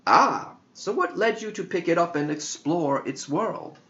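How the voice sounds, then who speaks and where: A man's voice: fairly high pitch, lively intonation, measured pace, pushed and bright, a little hiss or hum, pitched above the performer's own voice. A man voicing a wise, patient but challenging woman mentor in an audiobook story, speaking directly and instructively. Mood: probing. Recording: dull lo-fi audio.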